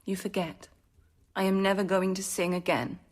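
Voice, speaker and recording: Woman as a husky, defiant teenage novice. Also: medium pitch, fairly even intonation, moderate pace, lightly acted. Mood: sullen. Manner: sullen, sharp, rebellious. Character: defiant, bitter sixteen-year-old noble girl, unwilling novice. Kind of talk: radio drama acting.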